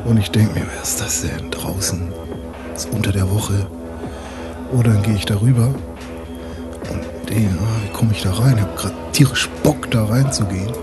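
A man's voice: fairly low pitch, moderate pace, over loud music. A man casually improvising a first-person story as a player in a tabletop role-playing game.

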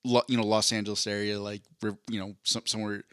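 A man's voice: fast talker, smooth, dry recording, clean studio sound. A man from southeastern Pennsylvania with a slight accent, co-hosting a casual podcast, chatty, with stammered restarts. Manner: chatty, stammering restarts, animated jumps in pitch. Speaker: podcast co-host. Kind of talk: casual chat.

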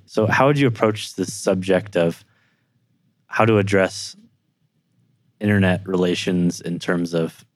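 A man with a fairly low voice hosts a conversational interview. He is affirming and checks in as he goes. The recording is dry, with very little room sound. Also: a little slow, long pauses, dry recording. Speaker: podcast host.

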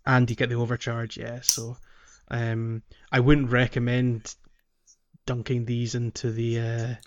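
A man's voice: mid-range voice, monotone. Guy chatting games on a podcast, stop-start and repeating himself, kinda dull lo-fi sound. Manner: halting, repeats words as he thinks. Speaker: podcast co-host. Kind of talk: casual video game chat.

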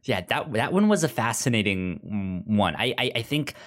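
A man co-hosting a casual review chat, talking haltingly and often stammering over repeated words. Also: lowish voice, lively intonation, a bit bright. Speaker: podcast co-host reviewing audio drama.

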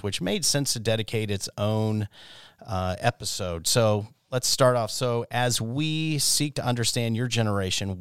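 A man's voice: lowish voice, smooth, dry recording, studio quality. A middle-aged man hosting a church podcast, introducing topics and putting questions to his guests. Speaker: podcast host and lay church elder.

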